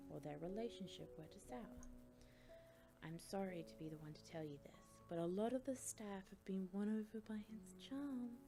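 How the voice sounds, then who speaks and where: Creaky, low-voiced stern female professor played by a woman. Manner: measured, grave, critical. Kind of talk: audiobook drama acting.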